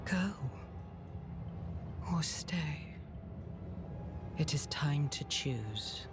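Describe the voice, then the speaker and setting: An actress playing a commanding, menacing demon mother in a video game, with slow, breathy, solemn delivery. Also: low voice, lively intonation, background noise, heavily acted. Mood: coaxing. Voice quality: breathy, half-whispered. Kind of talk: dramatic video game acting. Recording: game music behind.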